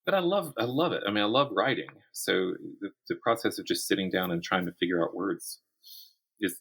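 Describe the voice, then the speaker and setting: A middle-aged man with a low, husky voice that still carries a bright, forward edge. He talks conversationally as an interview guest, thinking aloud and restarting phrases as he goes. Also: brisk. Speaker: guest, writer and academic. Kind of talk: conversational interview about writing craft.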